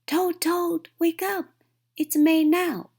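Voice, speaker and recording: A woman's voice: very high-pitched, steady tone, slow pace, darkish tone, voice pushed up, heavily acted. A woman voicing an eager, cheerful male frog who exclaims and urges in a children's storybook. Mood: urgent. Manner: exclaiming, urging, enthusiastic. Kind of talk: children's storybook read-aloud.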